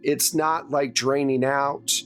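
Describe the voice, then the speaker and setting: A man narrating a horror tabletop roleplaying game as game master, in a deliberate, descriptive way. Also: mid-range voice, flat delivery. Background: background music.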